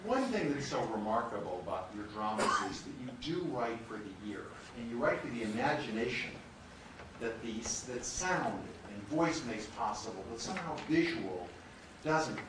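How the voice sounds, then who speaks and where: Male moderator, medium pitch, live question session, distant from the mic with strong room echo and clear noise. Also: expressive, moderate pace. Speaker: panel moderator. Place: large room with an audience. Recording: echoey hall, distant mic, noisy.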